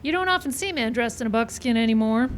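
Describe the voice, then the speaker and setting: Teasing saloon woman played by an actress, slightly high. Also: expressive, fast talker, natural voice. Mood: playful, nonchalant. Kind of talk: live old time radio western acting. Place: live show in a park. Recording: live outdoor stage, noisy background.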